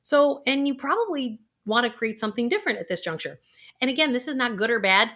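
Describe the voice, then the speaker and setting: A woman's voice: fairly high pitch, expressive, fast talker, a bit bright. A middle-aged woman, a financial planner, coaching the viewer through a guided intuition exercise with breathing.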